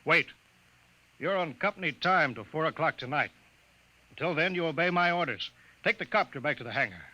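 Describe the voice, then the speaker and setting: A man playing an irascible, authoritarian bureau boss in 1950s radio drama, gruff, blustering and clipped. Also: fairly high pitch, expressive, fairly quick, bright, forceful tone, lo-fi sound, full character voice. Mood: stern, commanding. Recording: band-limited vintage 1950s radio recording.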